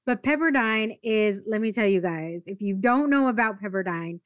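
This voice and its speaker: A woman's voice: medium pitch, moderate pace, no echo. A woman hosting a podcast, talking casually and animatedly, with lots of "like". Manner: animated, uses "like" a lot. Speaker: podcast host. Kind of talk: casual interview conversation.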